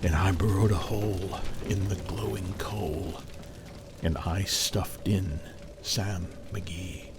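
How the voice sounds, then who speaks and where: Man, dramatic poetry narrator, fairly deep, slow measured delivery, long pauses, light background noise. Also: fairly even intonation.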